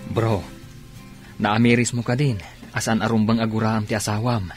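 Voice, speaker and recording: A man's voice: fairly low pitch, little pitch movement, normal speed, music in the background, lightly acted. A man acting a frank friend who questions and advises, in a radio drama. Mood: frank, probing.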